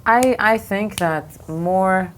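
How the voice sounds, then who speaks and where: A woman's voice: mid-range voice, very slow. A woman originally from Lebanon, answering interview questions as an art fair director, with thoughtful answers full of restarts and fillers. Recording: faint background noise.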